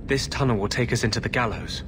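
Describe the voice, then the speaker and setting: An earnest rebel mage from a fantasy game, played by a man, intense and bitter. His voice sits at a middle pitch, with clear ambience noise behind it. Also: quick pace, close to an everyday voice. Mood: grave, resolved.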